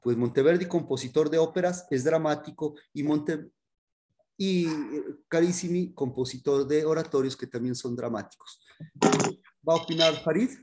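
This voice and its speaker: A man teaching a music history class in an informal, halting explanatory way, often checking in with his students. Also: a little high, a little mellow, slight background noise.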